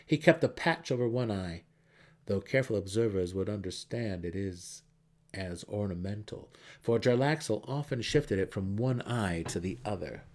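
A man's voice: lowish voice, moderate pace, darkish tone. A man reading a fantasy novel as a narrator in a theatrical, emphatic way. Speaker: audiobook narrator.

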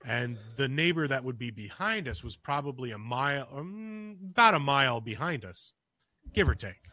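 A man with a bright, forceful voice recounts a story on a podcast in a loose, rambling way, full of restarts and "you know." The sound is close and dry. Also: mid-range voice, animated, moderate pace, no echo. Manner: gets louder and more urgent as the story builds. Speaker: podcast co-host. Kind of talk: casual storytelling of a personal encounter.

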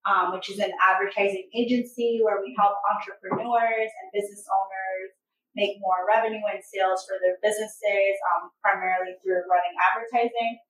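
A woman's voice: medium pitch, a bit bright, a little hiss or hum. A woman guest on a podcast, a little stuffy and nasal, chatting casually with many fillers. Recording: echoey room, mic at a distance.

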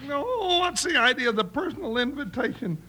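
An actor playing a grumbling, folksy deputy sidekick in an old radio western, with an American accent and rustic slang. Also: male voice, extremely high pitch, animated, a little fast. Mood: grumbling, alarmed. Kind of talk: 1950s western radio acting. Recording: faintly noisy old radio recording.

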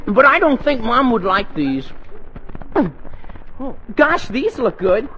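A man's voice: very high voice, sing-song, slow pace, full character voice. A man with an American accent playing an eager, dithering teenage boy, earnest and exclamatory, in a 1940s radio comedy. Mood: delighted. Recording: noisy muffled 1940s radio broadcast.

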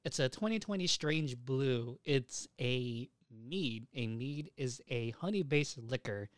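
Young guy chatting anime news on a podcast, sweary and rambly, dry sound, medium pitch. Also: animated, slow pace, no room sound. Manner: profane, rambling. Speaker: podcast co-host. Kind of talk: casual anime news chat.

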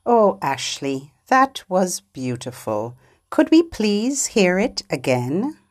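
A woman's voice: fairly low pitch, big pitch swings, takes it slowly, darkish tone. A woman hosting a children's show, presenting in simple phrases for babies and toddlers. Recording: music behind the voice. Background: music.

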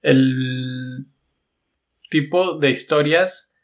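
A man's voice: mid-range voice, drawn-out words. A man with a Mexican accent giving a casual, conversational review as a podcast host, informal and thinking aloud as he goes.

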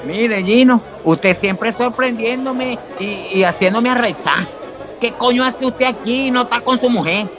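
A man playing a gruff, emotional, middle-aged Venezuelan father in a radio soap opera, talking bluntly and colloquially. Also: extremely high pitch, a little slow, music in the background. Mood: gruff, indignant. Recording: busy crowd background.

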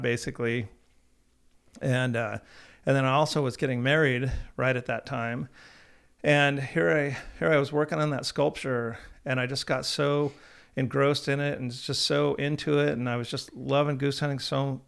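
A man's voice: medium pitch, creaky, fairly bright tone, smooth. A man in his thirties, a company founder, telling his story in a casual podcast chat, slightly nasal and rambling with frequent restarts. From Oregon.

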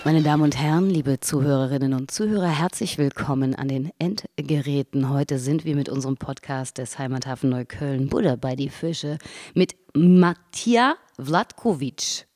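A woman hosting a podcast interview in a studio, chatty and teasing, often cutting in with quick remarks. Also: very deep voice, mellow, dry recording. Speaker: podcast host. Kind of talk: casual interview chat.

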